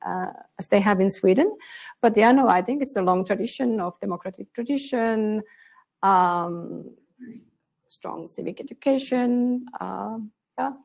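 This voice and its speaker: A woman from Finland with a Finnish accent, answering on a conference panel, thoughtful and pausing often with fillers. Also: mid-range voice, vocal fry, a little mellow. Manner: thoughtful, searching for words with many fillers. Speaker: panelist on elections. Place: conference room with a panel on stage.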